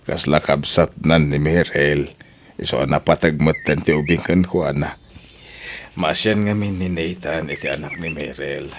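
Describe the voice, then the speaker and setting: Guy doing really low ilocano granddad in a radio drama, fatherly and firm, noisy recording. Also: steady tone, measured pace, vocal fry, exaggerated voice. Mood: earnest. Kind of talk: Ilocano audio drama acting.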